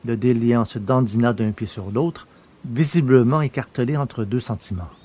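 A man's voice: medium pitch, moderate pace, dark tone, slightly rough. A man narrating a scripted science fiction adventure story in a literary, storytelling style.

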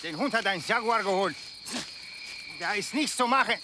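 A man's voice: sits high, lively intonation, moderate pace, noisy background. An actor playing a fearful, gloomy boatman in a radio play, plaintive and full of doom. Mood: resigned. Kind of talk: German radio play acting.

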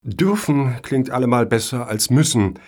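An actor in a satirical radio play as a brusque, suspicious official who grills applicants pointedly. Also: male voice, mid-range voice, animated, normal speed, a little mellow, natural voice.

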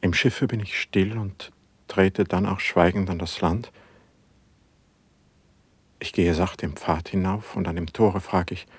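An actor playing a brooding, suspicious and passionate poet in a classical verse radio drama, with declamatory, measured diction and a husky voice. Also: male voice, low-pitched, no echo, exaggerated voice.

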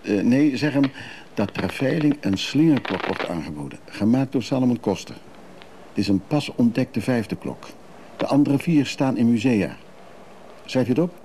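Actor doing a fussy nervous traveller in a radio play, gravelly and mellow, hesitant, bit rambling. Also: male voice, mid-range voice, lively intonation, normal speed, close to an everyday voice. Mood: insistent. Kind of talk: radio drama acting. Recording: noisy radio play recording.

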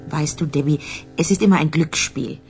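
An actress playing a candid, matter-of-fact mobster's wife with a husky voice, in a radio crime drama. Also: female voice, low voice, moderate pace, over music. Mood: reflective, candid.

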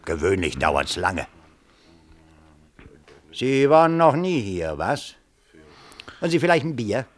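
An actor playing a chatty, folksy pub landlord in a radio play, knowing, teasing and colloquial. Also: male voice, medium pitch, sing-song, takes it slowly, long pauses. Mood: gossipy. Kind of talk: 1970s German radio play acting.

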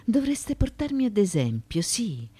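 An actress in a theatrical radio drama playing a proud, defiant former slave and courtesan who addresses judges in a declamatory, deliberate way. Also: female voice, medium pitch, very animated, husky. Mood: defiant, insistent. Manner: declamatory, deliberate, shifting between hushed and forceful.

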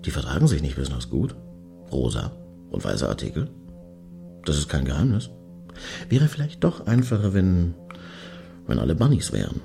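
A brash middle-aged man in a satirical radio play. His voice is very deep and gravelly, swinging widely in pitch as he grumbles and speechifies in sarcastic slang. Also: wildly swooping pitch, moderate pace, pauses often, mellow, soft background music, exaggerated voice. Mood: mock lecturing. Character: brash, randy, opinionated man queuing outside a Berlin club.

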